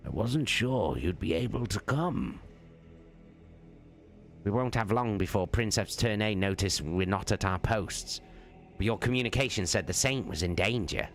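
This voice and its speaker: A man voicing an earnest, devout male starship crewman in a hushed dramatic audiobook reading. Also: lowish voice, lively intonation, unhurried, faint music behind, voice pushed up. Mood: concerned, urgent.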